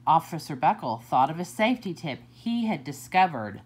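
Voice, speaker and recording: A woman reading a children's picture book aloud for story time, slow and deliberate with expressive swoops. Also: low voice, slightly rough.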